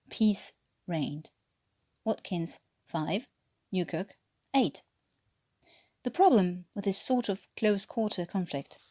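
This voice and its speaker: A woman's voice: on the low side, dark tone. French woman reading a story expressively. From France. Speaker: amateur audiobook reader. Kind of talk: scripted story narration.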